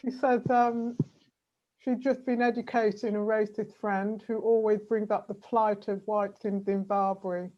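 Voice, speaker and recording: A woman runs an online meeting in a brisk, encouraging way, at times stumbling over her words as she juggles the timing. Her audio comes through a video call sounding slightly dull and muffled. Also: medium pitch, level tone. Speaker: meeting facilitator.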